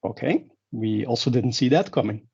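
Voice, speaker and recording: A man's voice: mid-range voice, expressive, normal speed, vocal fry, a little mellow, breathy edge. A man from Belgium, giving a conference talk in a rambling way with many fillers and restarts. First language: Dutch. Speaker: conference speaker. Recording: dull lo-fi sound.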